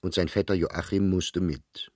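A man reading formal literary narration for a radio drama in a measured storytelling manner. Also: low-pitched, little pitch movement, darkish tone, no room sound, studio quality.

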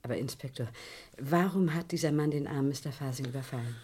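A woman's voice: very deep voice, quick pace, darkish tone, husky. A woman playing an anxious, kindly landlady, earnest and worried, in a German radio crime play. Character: anxious, kindly landlady, shaken female witness.

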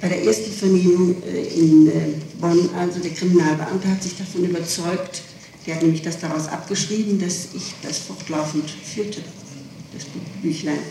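A woman answering questions in an interrogation, haltingly and insistently, often repeating and correcting herself over small details. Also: lowish voice, steady tone, dark tone. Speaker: person under police questioning. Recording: echoey, distant, noisy old tape.

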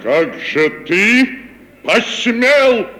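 An actor playing a pompous tragic actor, grandly outraged over honour, declaiming with theatrical pauses and sudden outbursts in 1950s Russian radio comedy. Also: male voice, sits high, big pitch swings, pushed and bright, full character voice. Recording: roomy vintage 1950 radio play recording.

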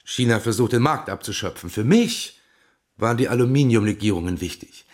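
A man acts a courteous, gloomy industry expert. His mid-pitched voice moves up and down a lot as he talks, in a radio drama. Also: lively intonation, fairly quick, lightly acted. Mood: glum, explanatory. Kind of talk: German radio play dialogue.

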